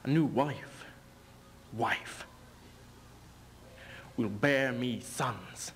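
A man's voice: mid-range voice, extremely slow, slight background noise. A young man playing a proud, sardonic aging king in a stage reading, mocking and declamatory. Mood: cool, determined. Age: young adult. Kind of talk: theatrical duo interpretation, stage reading. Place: indoor stage in front of curtain.

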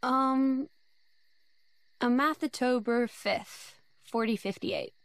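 A woman playing a caring, modest young heroine in a video game, soft-spoken, polite and a little hesitant. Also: high voice, slow, clear, resonant tone, dry recording. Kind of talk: dramatic video game acting. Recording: prominent music behind dialogue.

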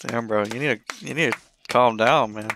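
A man casually taunting in online game voice chat, deadpan and unbothered. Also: medium pitch, normal speed, noisy background.

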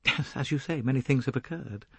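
A man's voice: a little high, big pitch swings, normal speed, slightly rough, no room sound, pitched above the performer's own voice, exaggerated voice. A man voicing a bitter, desperate young woman with a hard-edged, intense manner, in an audiobook novel.

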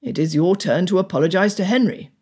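A woman voicing a stern, exasperated gentleman father in an audiobook, with a gruff, commanding and formal manner. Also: on the low side, animated, a little fast. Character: stern, exasperated titled father of a wilful daughter. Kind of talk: audiobook character dialogue.

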